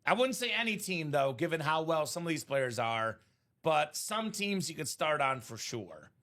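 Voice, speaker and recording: Male card break host, thirties, slightly high, bright voice, friendly sales patter. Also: very animated, moderate pace. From Chicagoland area, born in Bulgaria. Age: 30s. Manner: chatty, salesy, addresses viewers by name. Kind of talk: casual live-stream chat.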